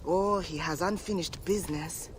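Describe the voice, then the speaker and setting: A man's voice: a little high, moderate pace, a bit bright, lightly acted, poor audio. A man voicing a shrewd, theatrical scholar and gambler of ancient Egypt in a video game, musing and drawing out his phrases. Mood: musing, mysterious. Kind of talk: dramatic video game acting. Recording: faint background noise and ambience.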